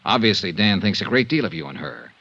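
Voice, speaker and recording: A man's voice: sits low, big pitch swings, brisk, creaky, sharp tone, husky, heavily acted. An American actor playing a blunt, world-weary male insurance investigator in a 1950s radio drama, both narrating and speaking in scenes. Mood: pressing, frank. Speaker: lead actor and narrator of a radio detective serial. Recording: dull band-limited 1950s radio recording.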